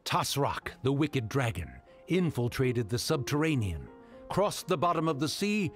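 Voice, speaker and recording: A grand, solemn storyteller from a fantasy game. His voice is mid-pitched and rises and falls with drama. Music plays clearly behind the narration. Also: sing-song, a little slow. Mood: grave, dramatic. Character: grand solemn male storyteller of dragon legend.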